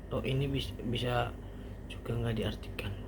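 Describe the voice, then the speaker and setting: A man giving an informal language lesson as a teacher, explaining haltingly step by step with casual asides. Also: mid-range voice, steady tone. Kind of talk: informal online grammar lesson. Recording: faint background noise.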